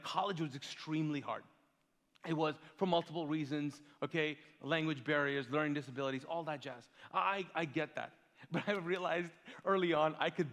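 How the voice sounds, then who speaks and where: A male pastor raised in Kuwait whose first language is Urdu, preaching with lively storytelling and rhetorical questions. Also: fairly high pitch, in a large hall. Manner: animated storytelling, big swings in loudness, rhetorical questions. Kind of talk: sermon. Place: large church hall.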